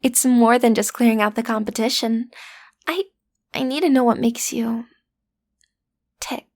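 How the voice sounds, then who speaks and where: A woman's voice: fairly high pitch, steady tone, measured pace, a little mellow. A young woman playing a lovestruck schoolgirl villain's daughter in an intimate audio roleplay, hesitant and playfully menacing, often slipping into a whisper. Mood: awkwardly menacing. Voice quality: breathy, often dropping to a whisper. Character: lovestruck teenage schoolgirl, villain's daughter, awkward amateur kidnapper. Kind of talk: audio roleplay acting, intimate direct address to listener.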